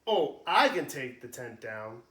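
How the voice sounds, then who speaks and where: A man's voice: mid-range voice, very animated, unhurried, pushed and bright, reverberant. A man voicing an eager, boastful young boy mouse in a storybook read-aloud.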